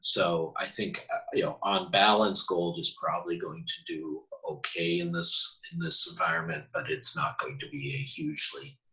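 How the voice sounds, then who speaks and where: Male expert panelist, very low pitch, bright forceful tone, articulate and assertive. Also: steady tone, moderate pace, few pauses, low-quality recording. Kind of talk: analytical commentary in a question-and-answer session.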